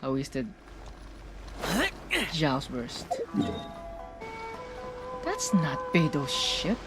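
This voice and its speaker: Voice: medium pitch, drawn-out words. A streamer giving casual game reaction commentary in short interjections, often echoing phrases. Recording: game music behind.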